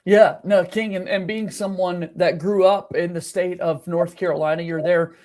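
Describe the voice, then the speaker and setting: A man's voice: sits high, steady tone, normal speed, darkish tone. A man hosting a sports podcast, asking interview questions in an upbeat, friendly way. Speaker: podcast host.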